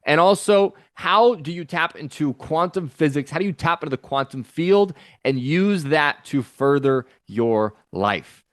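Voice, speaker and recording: A man hosting a podcast, talking in an upbeat, energetic and encouraging way. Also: on the high side, measured pace, hardly any pauses, dry recording, clean studio sound. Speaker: podcast host. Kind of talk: podcast intro and interview.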